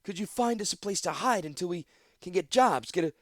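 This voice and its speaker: A man voicing a wary, ragged outcast woman who speaks in a hushed, prim, raised voice. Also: a little high, big pitch swings, fairly quick, breathy edge, no room sound, pitched above the performer's own voice. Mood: eager.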